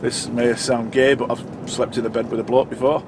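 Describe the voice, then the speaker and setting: A man's voice: mid-range voice, flat delivery, fairly quick. A middle-aged man with a blocked nose, a truck driver chatting casually and rambling to camera from his cab while driving. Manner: rambling, chatty, self-correcting. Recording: loud road noise behind.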